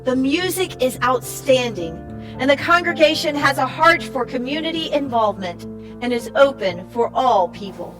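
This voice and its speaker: A woman reading a scripted church summary in a measured way, as a narrator. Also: high voice, lively intonation. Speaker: narrator, church project team member. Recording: music playing behind the voice. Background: music.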